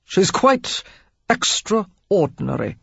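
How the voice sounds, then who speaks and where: An impatient, sardonic nobleman, voiced by an audiobook narrator, clipped and dry in delivery. The voice is slightly husky and close, with a dull, flat-sounding read. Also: mid-range voice, normal speed, dry recording. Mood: curt. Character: curt, impatient aristocratic man. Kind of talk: audiobook character voice.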